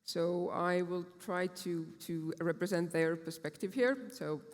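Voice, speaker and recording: A woman's voice: on the low side, unhurried. A woman lawyer introducing herself on a conference panel, businesslike with some hesitation. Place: large conference hall stage. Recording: hall reverb.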